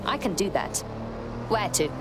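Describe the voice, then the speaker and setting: A woman's voice: lowish voice, big pitch swings, a little slow, background noise. A woman playing a keen, businesslike resistance operative in a video game, talking in short, terse replies. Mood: ready, wary.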